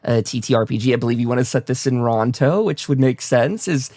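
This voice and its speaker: A man's voice: medium pitch, a little fast, mellow, dry recording, studio quality. A man hosting a conversational interview, talking in a discursive way and repeating words as he forms his thoughts. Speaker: talk show host, interviewer.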